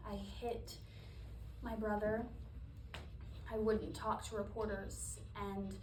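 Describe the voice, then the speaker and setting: A woman's voice: medium pitch, level tone, darkish tone, close to an everyday voice. Woman acting a subdued, grieving daughter, echoey room. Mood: wistful, subdued. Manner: subdued, confessional, halting. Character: wistful woman recalling childhood grief for her astronaut mother. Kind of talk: dramatic stage monologue. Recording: echoey room, distant mic, background noise.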